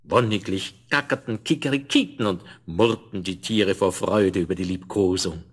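A man narrating a scripted fairy tale in a measured, expressive storytelling manner. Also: deep voice, husky. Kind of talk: scripted fairy-tale narration, 1970s German radio play.

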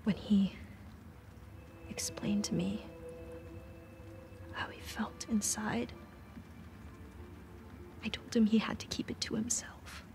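An actress playing a gentle, worried teenage girl in a video game drama, speaking softly and haltingly. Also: medium pitch, fairly even intonation, vocal fry, in a whisper. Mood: sombre, regretful. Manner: hushed, halting, soft. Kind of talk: naturalistic video game cutscene acting.

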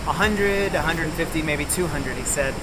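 A man's voice: fairly high pitch, normal speed, very noisy. A man in his thirties, a travel vlogger, talking chattily and telling stories outdoors by a waterfall. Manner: chatty, storytelling, enthusiastic. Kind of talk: casual travel vlog narration. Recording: loud music under the voice.

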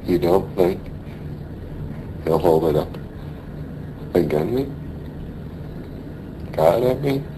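A low, slow, dying American sailor. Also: male voice, expressive. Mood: fearful, resigned. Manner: halting, labored, brooding delivery. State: weak, in pain. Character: dying American sailor, weary, wistful, afraid. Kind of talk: radio drama acting. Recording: faint noise behind a radio play.

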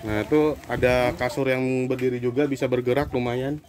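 A man's voice: mid-range voice, level tone, a little fast. A man with a Jakarta-area Indonesian accent chatting casually as he shows viewers around an open-air cafe. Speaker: vlogger. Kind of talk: casual vlog chat, showing place and menu to viewers. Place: open-sided hilltop cafe. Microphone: handheld phone camera. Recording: noisy handheld phone video with birdsong. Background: birds, ambient noise, friends chatting.

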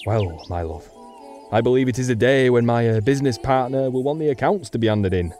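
A man's voice: medium pitch, animated, a little fast, warm tone, faint music behind, natural voice. A man playing a cocky, slightly awkward young suitor and businessman in an audio drama. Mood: brisk, dismissive.

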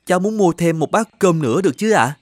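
A man voicing a poor, polite young student in an animated moral tale, hesitant and deferential as he talks. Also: high voice, fast, no room sound, clean studio sound. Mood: shy, polite.

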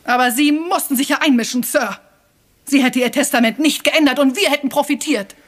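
An actress playing a bitter, proud, scheming wife in a radio crime play, speaking with dramatic, accusing emotion. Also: female voice, high-pitched, brisk, close to an everyday voice. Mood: bitter, scornful.

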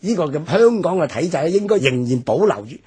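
A man with a Hong Kong Cantonese accent explaining history in a measured radio discussion, with many fillers. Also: a little high, very animated, a little mellow, slightly rough. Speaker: history commentator. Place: radio studio.

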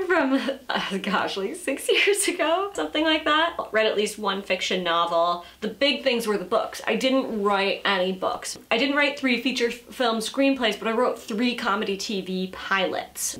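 A woman around thirty chatting straight to camera at home, loose and unscripted. She pokes fun at herself and laughs easily between thoughts. The sound has the feel of a camera mic in a bright room. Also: mid-range voice. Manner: chatty, self-deprecating, laughs often. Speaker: vlogger and creative entrepreneur. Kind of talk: casual unscripted vlog talk. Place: sunlit room in front of glass doors, indoors.

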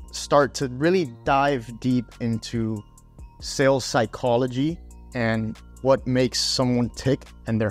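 A young man from Miami, a podcast guest, talking through his story in a casual interview with a lapel mic in a small room. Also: mid-range voice. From Miami, USA. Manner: animated, gestures, uses fillers like "um" and "right?". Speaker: podcast guest, sales executive. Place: small room with armchairs, indoors.

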